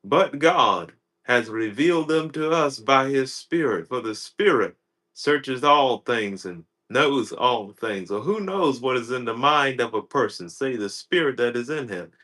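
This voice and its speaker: Slow, bright-voiced man in his thirties. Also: mid-range voice, expressive. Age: 30s. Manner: slow, repetitive, many fillers and restarts. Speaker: personal development coach. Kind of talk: casual livestream chat.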